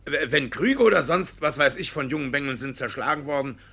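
An actor playing a sly, flustered village judge in a classic radio play, blustering, hesitant and evasive. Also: male voice, mid-range voice, animated, brisk, gravelly, pushed and bright, breathy edge, full character voice.

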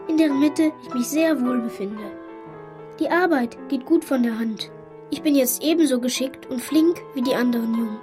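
A woman's voice: high-pitched, close to an everyday voice. A woman voicing a polite, brave, earnest young boy in a German radio drama. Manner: polite, well-bred, earnest.

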